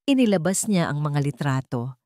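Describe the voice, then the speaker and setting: A woman narrating a scripted dramatic story in measured, suspenseful, deliberate phrases. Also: sits low, sing-song, mellow, dry recording.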